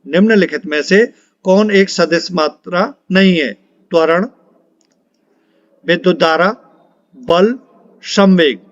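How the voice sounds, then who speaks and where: A man's voice: high voice, slow pace, long pauses, no room sound, muffled recording. A man, an exam coaching teacher reading out quiz questions and answers in an instructional way, repeating for emphasis.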